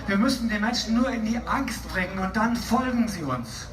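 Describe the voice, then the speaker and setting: High-pitched man declaiming, echoing. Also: moderate pace, fairly bright tone, a little hiss or hum. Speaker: live interpreter at a rally. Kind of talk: consecutive interpreting of a political speech. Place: outdoor rally in a city, over loudspeakers. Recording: echoey distant PA, music and crowd.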